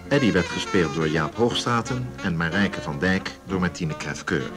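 Deep-voiced male radio announcer over music. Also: lively intonation, normal speed. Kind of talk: scripted radio drama credits. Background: brass and saxophone music.

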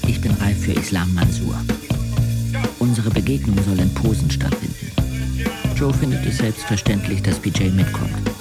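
A man acting as a young male narrator in a radio drama speaks from a low, gravelly register over loud music. He is introspective and intense, with terse, literary phrasing that sounds like private brooding. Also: moderate pace, lightly acted. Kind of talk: scripted radio drama inner monologue.

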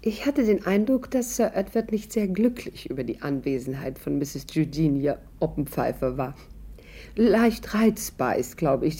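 A woman playing a composed, wryly observant character who narrates her own story in a 1950s German radio play, in a measured manner. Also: low voice, dark tone, breathy edge.

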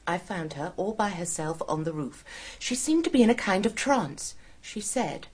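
An actress playing an observant, matter-of-fact nurse who recounts things plainly in a radio drama. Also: female voice, lowish voice, lively intonation, moderate pace, darkish tone, husky. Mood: sober, recounting.

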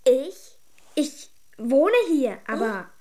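High-voiced, sulky young girl. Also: expressive, very slow, mellow, heavily acted. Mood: puzzled. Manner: blunt, hesitant, sulky. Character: grumpy, sceptical young girl, down-to-earth. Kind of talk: children's radio drama acting.

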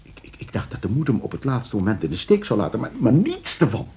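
An actor in a radio play playing a smug, cold-blooded schemer who explains things calmly and tauntingly to friends. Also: male voice, mid-range voice, fast. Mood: coolly explaining.

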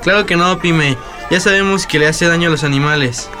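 Actor as high-voiced teasing Mexican boy. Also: brisk, music in the background, a crowd in the background, natural voice. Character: helpful, teasing boy of the family. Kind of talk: radio soap acting.

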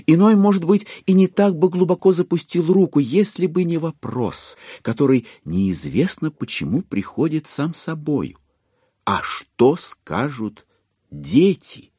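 A man narrating a dramatized literary audiobook with theatrical, measured, ironic storytelling. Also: a little high, big pitch swings, mellow, breathy edge, no room sound, clean studio sound.

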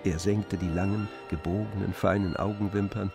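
A man narrating a literary radio drama in a measured, composed, knowing manner. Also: low voice, fairly even intonation, vocal fry, music in the background. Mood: composed, intimate.

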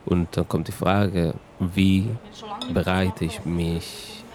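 A man with a low voice gives a personal account. He speaks slowly and haltingly, thinking as he goes. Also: flat delivery, a crowd in the background. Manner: halting, reflective. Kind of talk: personal testimony, informal.

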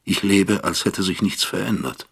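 A weary older professor speaks in a very low, gravelly voice with a husky grain. He is brooding and grave, narrating his own troubled conscience in a radio drama. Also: moderate pace, a bit bright, full character voice.

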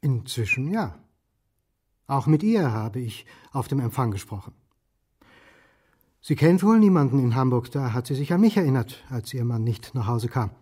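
Husky, mellow, guarded middle-aged man. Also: mid-range voice, moderate pace, long pauses. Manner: terse, flat denials, understated. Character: weary, guarded history lecturer under suspicion. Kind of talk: radio play acting, naturalistic.

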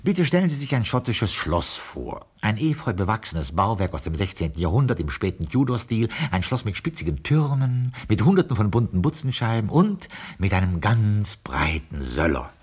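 An actor playing a formal, loyal, pedantic castle butler in a radio play, speaking with ceremonious dry dignity. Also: male voice, fairly low pitch, very animated, moderate pace, hardly any pauses, husky. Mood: stately, wry. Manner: dignified, ceremonious, dryly correcting. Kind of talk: theatrical radio drama storytelling.

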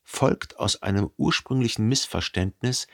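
A man playing a reflective son who narrates his memories in a radio drama, with a husky voice and measured, contemplative delivery. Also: on the low side, noisy background. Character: reflective literary narrator, a grown son remembering.

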